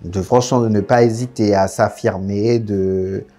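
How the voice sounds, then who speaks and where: Low, dark-voiced young man from French Guiana. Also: measured pace, soft background music. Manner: relaxed, frank storytelling with many fillers. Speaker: guest. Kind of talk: candid casual interview chat.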